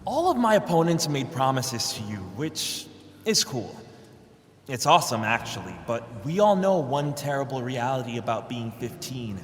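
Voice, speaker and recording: Teen hero, male, actor-played: cocky, casual, defiant, lively intonation, reverberant space, audio drama. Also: medium pitch, moderate pace, lightly acted. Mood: cocky, rousing. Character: cocky, defiant fifteen-year-old high school boy hero. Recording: echoey reverberant hall, music behind.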